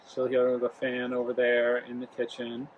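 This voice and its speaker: A young man hosts a casual review vlog from home and rambles through his thoughts with plenty of ums and restarts. His voice sits at a middle pitch, with a light hiss of room noise behind it. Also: monotone, normal speed, narrow, tinny audio. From Rhode Island. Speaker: travel vlog host. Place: home, living room near the kitchen.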